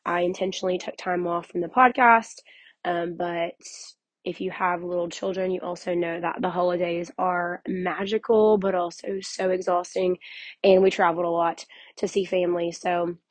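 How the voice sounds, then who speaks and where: A young woman, hoarse from losing her voice, hosting a casual podcast in a chatty, candid way with many fillers. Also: fairly low pitch, steady tone, normal speed, dry recording. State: hoarse, recovering from lost voice. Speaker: podcast host and author. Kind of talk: casual solo podcast talk.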